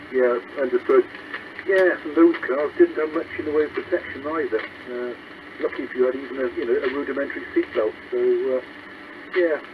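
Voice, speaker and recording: A man coordinates a CB net and calls each station in a steady, formal manner. His voice arrives over a tinny radio link. Loud static rides under every word. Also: medium pitch. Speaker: net controller calling stations.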